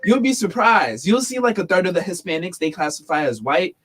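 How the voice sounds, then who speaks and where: A young man hosting a casual podcast discussion, animated and emphatic, often repeating words as he builds his point. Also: high voice, fairly quick. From United States. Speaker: podcast host.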